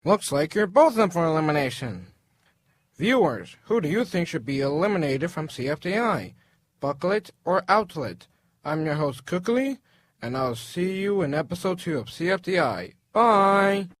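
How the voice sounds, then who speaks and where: A perky cartoon cookie hosts an elimination contest, acted by a man. The voice is high and very lively in pitch, announcing each moment theatrically to the viewers. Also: normal speed. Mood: upbeat, suspenseful. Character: Cookly, perky animated cookie game-show host. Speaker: show host. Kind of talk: cartoon elimination-show hosting.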